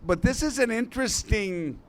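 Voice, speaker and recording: A man's voice: high voice, expressive, normal speed. A man moderating a conference panel, upbeat and informal as he sets up the discussion. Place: conference hall stage.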